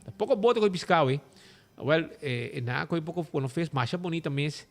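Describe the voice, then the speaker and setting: A man with an Aruban accent hosts a casual radio show, talking in a lively, rambling way and slipping between languages. His voice sits high for a man. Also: a little fast. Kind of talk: casual radio talk with ads.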